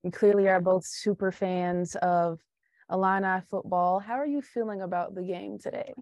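A young woman speaks as a podcast host in an informal, conversational way. Her pitch is average for a woman, and the recording is dry with very little room sound. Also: fairly even intonation, moderate pace, a little mellow, no echo. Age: young adult. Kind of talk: conversational interview.

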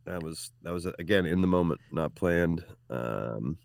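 A man's voice: low-pitched, expressive, unhurried, gravelly, no echo, studio quality. A man co-hosting a casual sports podcast, talking in an easygoing, chatty way with frequent fillers. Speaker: podcast co-host.

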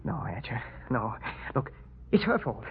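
An actor playing a cornered male fraudster, pleading and panicky, with a husky voice, in 1940s radio drama style. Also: medium pitch, big pitch swings, measured pace, heavily acted. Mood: panicked. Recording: muffled old radio broadcast.

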